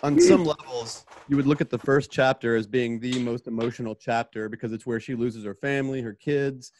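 A man's voice: mid-range voice, a little mellow, no room sound. A man who writes for a radio drama, talking in an earnest, reflective way. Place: indoors at home on a video call.

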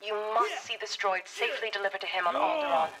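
A woman's voice: mid-range voice, expressive, fast talker, over a noisy crowd, rough recording. A woman playing an earnest young princess in a game, pleading in a hologram message with a radio-like filter. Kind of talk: animated game cutscene acting.

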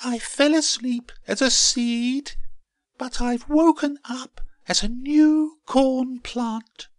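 A man's voice: very high-pitched, fairly even intonation, slow pace, no room sound, voice pushed up. A man voicing a dainty, dramatic young female seed in a children's storybook, plaintive and exclamatory. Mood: amazed, delighted.

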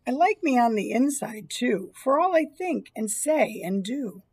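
A woman in her fifties with a fairly high, husky voice reads a children's storybook aloud. She delivers it as a teacher would when reading to young children. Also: very animated, unhurried, darkish tone. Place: small indoor school room or library.

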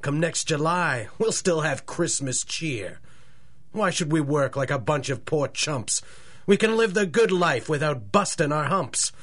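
A man's voice: fairly high pitch, animated, a little slow, fairly bright tone. An actor playing a callous, boastful 1930s gangster boss with tough-guy slang delivery, in old-time radio drama style. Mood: menacing, bitter.

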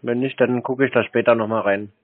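A man's voice: medium pitch, steady tone, brisk. A man in a casual phone conversation, answering briefly and noncommittally with lots of small hums. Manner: laconic, noncommittal, brief answers with many "mhm"s. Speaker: call recipient, customer. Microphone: landline telephone. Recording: dry but tinny landline phone audio.

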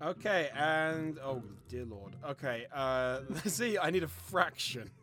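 A British man hosting a word game, bantering casually and reading aloud in an excitable, giggly, playful way. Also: on the high side, takes it slowly. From Britain. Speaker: host of an online word game. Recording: light music bed behind voice. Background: light music.

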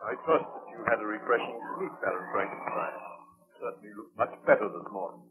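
A man's voice: lowish voice, animated, lightly acted. Courteous ship captain, played by a man, old radio. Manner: polite, measured. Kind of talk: 1930s radio drama acting. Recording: tinny old radio broadcast.

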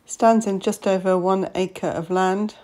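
A woman's voice: medium pitch, steady tone, unhurried, a little mellow. A woman estate agent narrating a walkthrough of a house, listing its features matter-of-factly in short phrases. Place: inside an old house.

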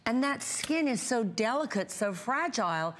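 A woman in her fifties pitching a skincare product on a TV shopping show, chatty and emphatic, stacking up vivid words, recorded on a lapel mic in a bright studio. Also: big pitch swings, moderate pace. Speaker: brand founder presenting on a shopping channel. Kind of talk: home shopping sales pitch.

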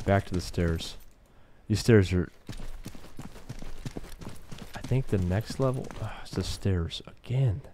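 A man's voice: low-pitched, takes it slowly, pauses often, mellow, slight background noise. A man doing casual, sweary gameplay commentary, muttering to himself as he plays. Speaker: gamer, video host.

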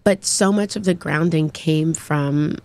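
A bubbly, animated woman from Texas in her forties, chatting as a podcast guest and laughing easily. Her voice sits fairly low for a woman. She talks into a desk mic in a small studio. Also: measured pace. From Texas, USA. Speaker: podcast guest, brand founder. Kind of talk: casual interview chat.

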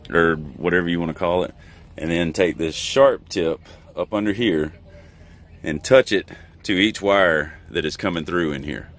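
A middle-aged American man, a truck driver, giving a casual, plain-spoken how-to while recording inside his truck cab. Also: low voice. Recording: background noise in truck cab.

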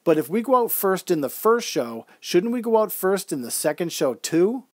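A man's voice: high voice, sing-song, moderate pace, no echo, clean studio sound, voice pushed up. A man voicing a blunt, complaining female clown who is new to the troupe, in a radio-style story. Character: complaining newcomer woman clown with a cough.

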